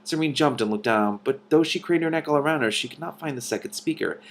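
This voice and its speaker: A man hosting a read-aloud of a novel, narrating expressively with animated, informal commentary. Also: on the high side, fast talker, a little hiss or hum.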